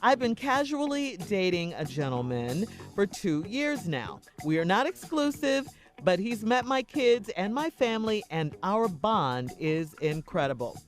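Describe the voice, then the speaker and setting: Female radio co-host, lively intonation, mid-pitched, reading copy over soft music. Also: a little slow, hardly any pauses. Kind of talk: radio hosting, reading letters and ads. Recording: faint music behind the voice.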